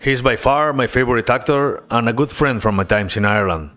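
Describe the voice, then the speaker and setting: Male developer, mid-pitched, earnest devlog narration over soft music. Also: brisk. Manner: earnest, relaxed storytelling with casual asides. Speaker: indie game developer.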